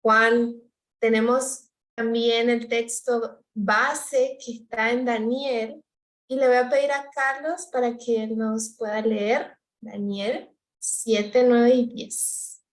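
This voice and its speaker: Host woman from Paraguay, slow, gently questioning delivery, a roomy echo. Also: a little high, flat delivery. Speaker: host of a youth Bible study. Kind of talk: relaxed Bible study discussion.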